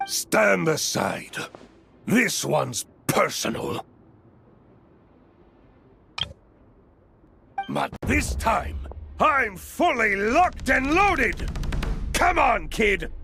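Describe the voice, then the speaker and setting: A man's voice: sits high, sing-song, very slow, creaky, sharp tone, faint music behind, full character voice. A man voicing a boisterous, playful adventurer father in an anime game, theatrical and slangy in delivery. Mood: theatrical battle bravado. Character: boisterous adventurer dad, playful mock-villain bravado.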